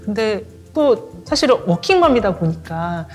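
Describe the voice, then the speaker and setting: A woman of forty, an author being interviewed, answering in a measured, polite way with light laughs. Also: mid-range voice, very animated, faint music behind. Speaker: author guest.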